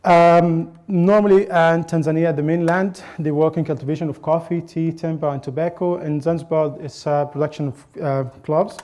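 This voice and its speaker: A man from Egypt giving an academic conference presentation, speaking in a halting way with frequent pauses and restarts. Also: on the high side, fairly even intonation, a little mellow.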